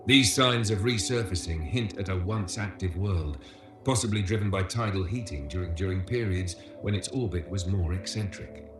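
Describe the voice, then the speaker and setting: A man giving scripted educational documentary narration as a tour guide, in a measured, solemn manner. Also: deep voice, echoey room. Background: music.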